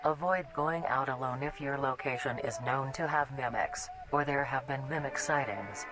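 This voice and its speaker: A man's voice: mid-range voice, normal speed. A man reading a scripted public safety announcement in a formal, instructional manner. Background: loud background music.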